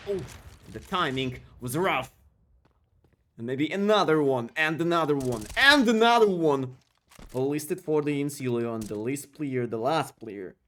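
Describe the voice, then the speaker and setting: A man casting an esports match solo on a live stream, speaking non-native English with slightly broken phrasing and chatting with viewers between plays. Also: a little high. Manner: excitable play-by-play calls, chatty with viewers. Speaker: esports caster. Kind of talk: solo live game commentary and chat replies.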